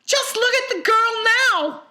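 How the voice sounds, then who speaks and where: A man voicing an excitable, horrified mother in a storybook, shrieking melodramatically. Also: very high-pitched, slow, voice pushed up, full character voice. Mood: shrieking horror. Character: shrill, excitable, horrified middle-aged mother.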